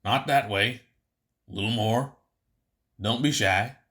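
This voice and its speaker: A man's voice: on the low side, slow pace, fairly bright tone. A man voicing a blunt veteran alien pilot mentor. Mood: coaching. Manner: coaching, drilling with short blunt questions. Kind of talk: audiobook character voice.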